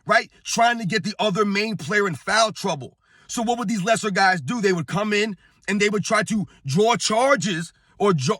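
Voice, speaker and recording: A middle-aged man hosting his own sports channel, talking straight to the viewers in a hoarse, strained voice. He talks emphatically and keeps circling back to his point. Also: high-pitched, pushed and bright, no echo, studio quality. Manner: emphatic, repetitive, addresses viewers as guys. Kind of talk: casual talking-head rant. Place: on vacation at a beach.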